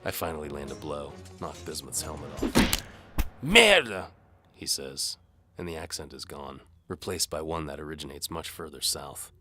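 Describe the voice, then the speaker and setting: A man's voice: very deep voice, flat delivery, moderate pace. A man narrating an audio adventure in the first person as its hero, with a wry, hard-boiled, dramatic delivery. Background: music under the voice.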